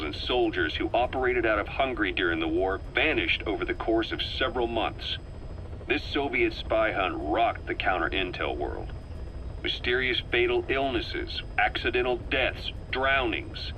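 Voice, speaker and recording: An actor playing a grave middle-aged intelligence operative in a video game, delivering a measured, deliberate, serious briefing. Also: male voice, fairly low pitch, bright, forceful tone, a little hiss or hum, poor audio. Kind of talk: dramatic scripted briefing narration.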